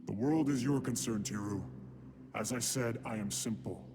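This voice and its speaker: A man's voice: medium pitch, moderate pace, background noise, natural voice. An actor playing a brooding male demon lord in a video game cutscene, speaking tersely and intensely. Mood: tense. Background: loud game score music.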